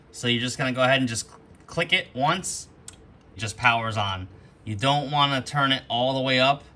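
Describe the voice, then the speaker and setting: A man's voice: mid-range voice, pushed and bright. A man hosting an unscripted product walkthrough, explaining things step by step in an instructional way. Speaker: host of a gear demonstration video.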